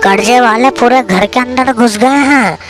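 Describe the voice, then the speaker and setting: A woman's voice: a little high, a little slow. A woman voicing a flustered, scheming middle-aged village father in debt, in a cartoon story. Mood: scolding, worried. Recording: music behind the voice.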